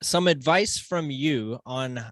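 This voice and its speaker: A man's voice: mid-range voice, slow pace, a bit bright, dry recording. A man hosting a podcast interview in an informal, laid-back way with casual slang. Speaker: podcast host.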